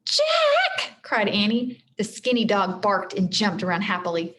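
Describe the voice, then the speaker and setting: A woman's voice: medium pitch, moderate pace, a bit bright. A woman teacher reading a storybook aloud expressively, with simple explanatory asides for children.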